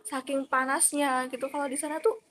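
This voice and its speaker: A young woman from Central Java, chatting in a relaxed way about moving for university. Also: high-pitched, brisk. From Purbalingga, Central Java. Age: young adult. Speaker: co-host, university student. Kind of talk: casual chat.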